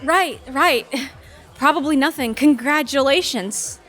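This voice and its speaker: A woman's voice: sits high, a little slow, fairly bright tone, faint music behind. A woman playing an intense, bitter, ambitious lawyer and boss in an audio drama, sardonic and emphatic. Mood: curt, hurt. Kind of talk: audio theatre acting.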